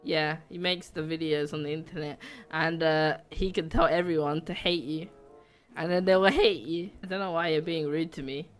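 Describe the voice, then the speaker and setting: A man's voice: a little high, fairly even intonation, moderate pace. Man playing a bratty little boy, voice-changed, whiny, stumbling childish speech, gaming chat, music behind. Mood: indignant. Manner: childish grammar, stumbling, indignant. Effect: kid voice changer. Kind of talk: casual gaming chat, prank.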